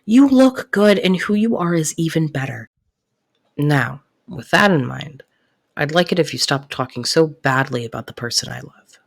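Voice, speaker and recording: Woman as devoted best friend in love: soft-spoken, slightly low voice, earnest and protective, intimate roleplay. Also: expressive, gravelly, heavily acted. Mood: firm, affectionate. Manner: earnest, reassuring, halting when flustered.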